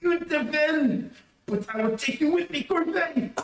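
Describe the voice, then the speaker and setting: An actor playing a treacherous, cowardly ex-convict in an excitable, theatrical old-time radio melodrama style. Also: male voice, very high voice, a little slow, slight background noise, exaggerated voice. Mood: gloating fury. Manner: theatrical, excitable pleading and threatening. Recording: echoey room, distant mic.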